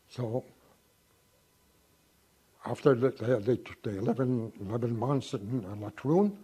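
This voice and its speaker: Husky-voiced man in his nineties. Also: medium pitch, darkish tone. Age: 90s. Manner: halting, reminiscing. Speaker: interviewee. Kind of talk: oral history interview.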